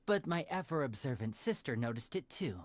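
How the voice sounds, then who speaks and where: A woman voicing a charming young male stage magician in a video game, speaking with smooth, teasing, theatrical politeness. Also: very deep voice, sing-song, fairly quick, darkish tone, no room sound. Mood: conversational, measured. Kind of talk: scripted video game character dialogue.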